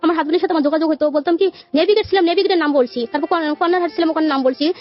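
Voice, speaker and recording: High-voiced, quick Bangladeshi woman. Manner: rambling, quotes conversations as she goes. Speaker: radio show guest. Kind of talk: personal life storytelling. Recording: muffled radio audio with music behind. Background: background music.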